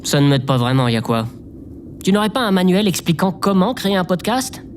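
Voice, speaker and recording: A man's voice: high-pitched, very animated, a little fast, a little hiss or hum. A man playing a hesitant, self-doubting oddball in an audio drama, speaking with stiff politeness as if musing aloud. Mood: puzzled.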